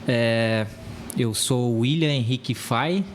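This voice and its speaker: Halting southern Brazilian man in his thirties. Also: medium pitch, slight background noise. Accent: Rio Grande do Sul Brazilian Portuguese. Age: 30s. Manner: hesitant, repeats words while searching. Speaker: real estate agency owner, podcast guest. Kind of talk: casual interview talk.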